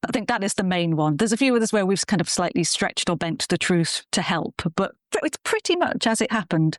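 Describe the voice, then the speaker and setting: British woman producer chatting in an interview, mid pitch, really dry recording. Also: animated, brisk, dry recording. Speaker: radio drama producer and director. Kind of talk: conversational interview.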